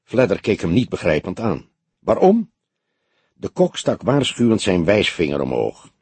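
A man's voice: low-pitched, sing-song, moderate pace, a little mellow, slightly rough, no echo. A man narrating a scripted story as an audiobook reader.

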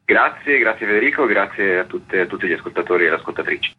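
A man's voice: low voice, expressive, fast talker, slightly rough, phone-quality sound. A male author explaining his book in a radio interview, fluent and earnest. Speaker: author, guest.

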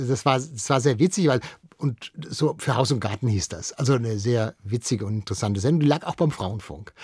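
A man's voice: mid-range voice, a little mellow, husky, no room sound, clean studio sound. An older man reminiscing conversationally as a guest in a radio interview, explaining things with emphatic repetitions. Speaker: studio guest, radio veteran and archive expert.

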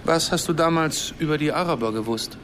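An actor playing an earnest, idealistic and sardonic Palestinian academic and activist in a radio drama. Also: male voice, a little high, brisk, fairly bright tone, slight background noise, lightly acted. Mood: probing.

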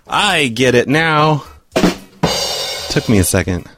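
A man's voice: medium pitch, lively intonation, takes it slowly, loud music behind the voice. A man hosting a prank call show, chatting casually in an offhand, sarcastic way. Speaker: podcast host. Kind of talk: casual chat.

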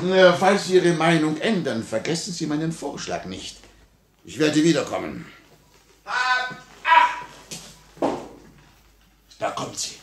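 An actor playing a smug, cynical police chief in a 1950 radio play, suave and sardonic, coaxing and mocking in a measured theatrical way. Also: male voice, on the low side, a little hiss or hum.

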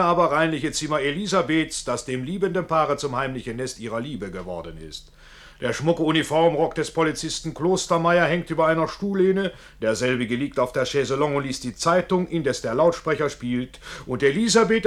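A man's voice: a little high, lively intonation, normal speed, a bit bright. An actor playing a sardonic showman who presents scenes in a radio play, florid and declamatory. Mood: florid, mock-grand. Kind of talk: theatrical scripted narration.

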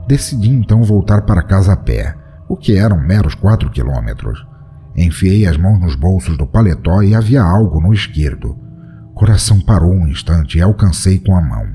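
A man's voice: sits low, warm tone. A man reading a first-person horror story aloud in a dramatic, tense storytelling manner. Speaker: narrator.

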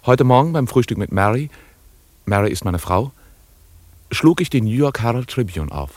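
A man's voice: mid-range voice, big pitch swings, normal speed, a little mellow, no room sound. A radio-play private detective with a mid-range voice. He is intent and impatient, narrating his own case in the first person and pressing others for answers. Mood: measured, confiding. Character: New York private detective, urgent and impatient. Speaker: actor playing narrator and lead.

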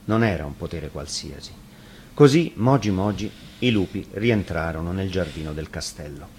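A man reads a fairy tale as a storyteller. His voice is on the low side and his delivery is dramatic, with faint noise behind him. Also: animated, normal speed, darkish tone. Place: radio studio.

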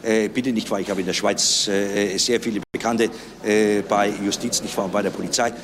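Halting man interviewed amid clear street noise. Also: on the low side, fairly even intonation. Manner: halting, hesitant, with many ers and tag questions. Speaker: senior public prosecutor. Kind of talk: press interview answers. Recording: noisy location interview with traffic.

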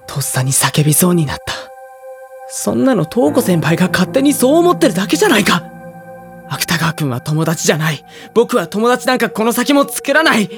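An actor playing a troubled, withdrawn high school boy in an audio drama, narrating inner thoughts and speaking in a guarded, brooding way. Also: very animated, a little fast, faint music behind. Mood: bitter, brooding. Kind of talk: dramatic inner monologue and dialogue.

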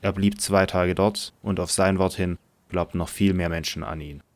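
A man narrating a scripted Bible audio drama in a measured storytelling manner. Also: sits low, fairly even intonation, no room sound.

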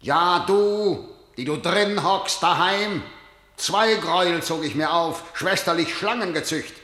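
A man's voice: high-pitched, takes it slowly, pushed and bright, full character voice. An actor playing a harsh, imperious king in a verse radio drama, declaiming with scorn. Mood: cold scorn. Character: harsh, scornful male tyrant king condemning his rebellious niece. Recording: echoey, reverberant room.

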